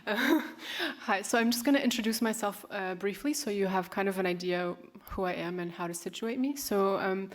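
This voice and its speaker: A woman's voice: medium pitch, normal speed, hardly any pauses. A woman in her thirties, who grew up in California, presents her work as an artist and fashion designer in a festival talk, speaking unhurriedly and hesitantly with many fillers and restarts.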